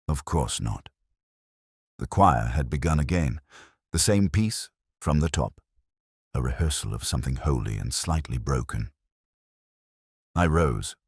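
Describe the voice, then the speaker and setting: A man playing a weary, reflective older detective, narrating a crime drama in measured, solemn, literary phrases. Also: extremely low pitch, animated, slightly rough, no echo, studio quality, exaggerated voice. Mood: somber, reflective. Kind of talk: scripted first-person detective story narration.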